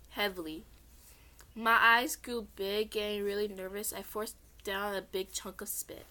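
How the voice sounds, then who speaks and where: A woman's voice: medium pitch, pushed and bright. A young woman casually reading her own story aloud, rambling into informal, self-deprecating asides. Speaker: amateur story narrator. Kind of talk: casual chatty read-along of own story.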